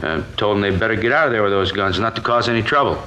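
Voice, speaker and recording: Fast-talking, nervous American kitchen worker. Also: male voice, fairly low pitch, bright, forceful tone, rough recording. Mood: anxious recounting. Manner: hesitant, colloquial, defensive. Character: nervous male kitchen worker, witness to a fatal robbery. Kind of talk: 1950s radio crime drama acting. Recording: old radio recording with background hiss.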